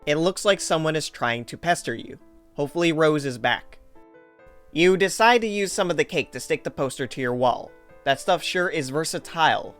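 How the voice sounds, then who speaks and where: A man's voice: fairly high pitch, animated, normal speed, a bit bright. A man reading scripted second-person story narration as the narrator of a fan drama. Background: music.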